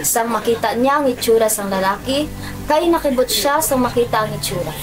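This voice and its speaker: A woman's voice: on the high side, brisk. A young woman with a Filipino accent reading scripted narration for a student radio drama. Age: young adult. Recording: music and crowd sounds behind.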